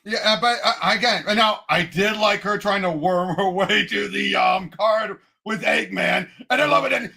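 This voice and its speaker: A man co-hosting a casual movie discussion, excitable and animated as he retells and acts out quotes. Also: high voice, normal speed, vocal fry, few pauses, breathy edge. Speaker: movie podcast co-host.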